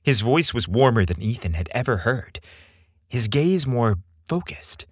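Male narrator, slow measured storytelling, animated pitch, theatrical phrasing, dry close sound. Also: breathy edge, dry recording. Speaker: podcast storyteller. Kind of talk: scripted narration, dramatic storytelling.

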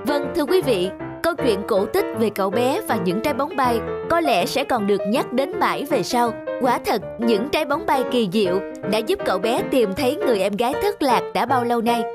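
A woman's voice: a little high, expressive, fairly quick, hardly any pauses, natural voice. Woman as a formal cartoon news reporter. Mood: solemn, warm. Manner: formal, heartfelt. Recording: music behind the voice.

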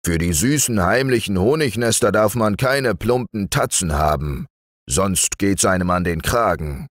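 A man's voice: lowish voice, lively intonation, measured pace, no echo, studio quality, voice pushed up. A man voicing a grumpy, stout older male bear in a storybook reading, grumbling and a little sly.

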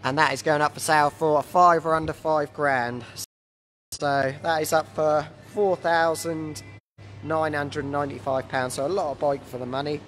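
A man in his thirties with a slightly croaky voice from a cold, casually presenting stock as a dealer on a live walkaround. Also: a little high, level tone, moderate pace, fairly bright tone, soft background music. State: has a cold. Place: large indoor motorbike warehouse showroom. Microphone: handheld phone. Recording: noisy handheld phone in warehouse.

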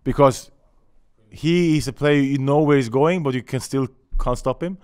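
A man in his forties with a Norwegian accent, a guest telling stories in animated, slightly broken English at a desk mic in a small studio. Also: mid-range voice, moderate pace, clean tone. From Norway. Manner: animated storytelling, gesturing, some broken English. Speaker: guest, former footballer. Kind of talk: casual podcast chat. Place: small studio with colourful backdrop. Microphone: desk mic on stand.

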